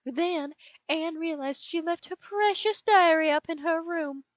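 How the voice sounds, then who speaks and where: A woman's voice: very high-pitched, measured pace, no echo, highs cut off. A woman voicing a drawling southern dragon. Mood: dramatic. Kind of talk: reading fan fiction aloud.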